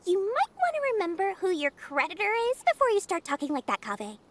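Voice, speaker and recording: A woman's voice: very high voice, wildly swooping pitch, quick pace, full character voice. A woman voicing a shrewd, smug, childlike girl merchant in a video game, theatrical, haughty and teasing. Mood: haughty, calculating. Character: shrewd, smug young female merchant, childlike and money-minded. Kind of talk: video game character acting.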